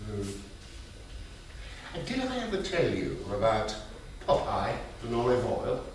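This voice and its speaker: An actor playing an elderly, fond and wistful grandfather, telling old gambling stories with hesitant pauses in a staged reading. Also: mid-range voice, wildly swooping pitch. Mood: playful, fond. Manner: unhurried storytelling with hesitant pauses and endearments. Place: theatre stage. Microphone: distant room mic. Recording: roomy echoing stage recording, distant mic, hiss.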